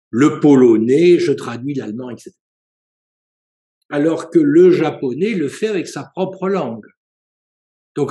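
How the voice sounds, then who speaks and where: Reverberant man lecturing, measured and halting. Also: mid-range voice, a little mellow. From France. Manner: measured and explanatory, with hesitations and self-corrections. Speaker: university professor and psychoanalyst giving a seminar. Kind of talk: spoken seminar lecture.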